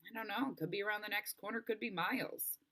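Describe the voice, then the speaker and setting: A woman's voice: mid-range voice, quick pace, sharp tone, pitched above the performer's own voice. A woman voicing a practical, level-headed young camper who guides a stranded friend, in a storybook read-aloud. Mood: uncertain. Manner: matter-of-fact, reassuring. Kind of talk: storybook character voice.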